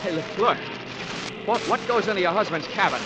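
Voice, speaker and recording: Hardboiled american detective, talks fast, snappy and sardonic, noisy 1940s radio show. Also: male voice, high-pitched, lively intonation. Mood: brisk, probing. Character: tough, wisecracking, hard-boiled male investigator. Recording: noisy vintage radio recording.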